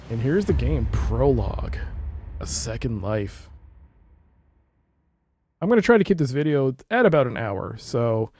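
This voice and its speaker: A man's voice: medium pitch, creaky, darkish tone. A man giving casual gaming commentary, explaining things informally and reading on-screen text aloud. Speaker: gaming video creator. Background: game music.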